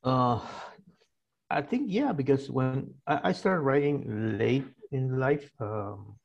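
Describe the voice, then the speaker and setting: A man's voice: medium pitch, expressive, slow, gravelly, highs cut off. A man in his sixties with an Argentine Spanish accent, speaking English as a guest in a casual interview, hesitant and full of fillers and restarts. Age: 60s. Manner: hesitant, many fillers and restarts, laughs easily. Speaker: guest author.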